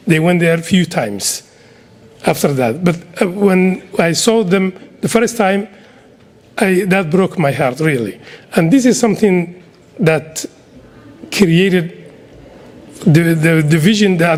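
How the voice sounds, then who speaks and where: A middle-aged man from Somalia with a Somali accent, giving an earnest speech to an audience. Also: high voice. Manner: earnest and exhorting, with repetitions. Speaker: community speaker.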